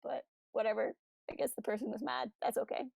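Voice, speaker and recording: A woman's voice: fairly high pitch, steady tone, brisk, vocal fry, a little mellow, no room sound. A woman co-hosting a casual livestream fan chat, talking in a chatty, informal and slangy way. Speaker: podcast co-host.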